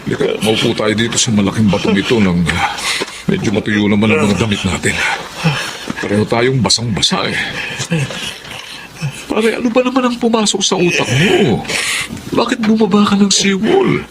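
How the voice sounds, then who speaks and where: Casting: male actor for earnest, concerned Filipino buddy, emphatic and very animated radio drama delivery, heavy background sound. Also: mid-range voice, normal speed, pauses often, very noisy, lightly acted. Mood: alarmed, chiding. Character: concerned, earnest male friend, pleading and consoling. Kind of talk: Tagalog radio drama acting. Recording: loud ambient sound effects behind.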